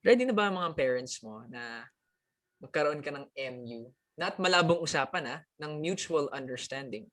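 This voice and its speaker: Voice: mid-range voice, lively intonation, brisk, fairly bright tone. An interview host who talks a lot, stumbling and repeating words as he mixes English and Tagalog. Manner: talkative, stammers and repeats words.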